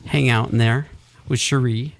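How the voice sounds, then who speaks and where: A man's voice: mid-range voice, steady tone, moderate pace, vocal fry. Male radio host, loose and talkative, mid-range voice. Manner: chatty, rambling, self-deprecating. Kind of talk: casual talk radio chat. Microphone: studio mic with headphones.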